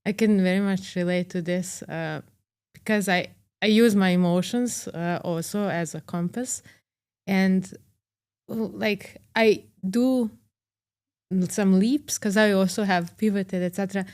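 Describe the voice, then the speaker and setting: Smooth-voiced podcast host from Serbia. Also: female voice, mid-range voice, unhurried. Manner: warm, relating personally, fillers "like" and soft laughs. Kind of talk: conversational interview.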